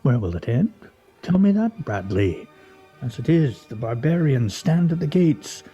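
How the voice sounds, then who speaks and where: An actor playing a pedantic, grumbling husband in a comedic radio play, with pompous, wordy, fussily articulate delivery. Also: male voice, medium pitch, very animated, measured pace, mellow, breathy edge, muffled recording, soft background music, full character voice.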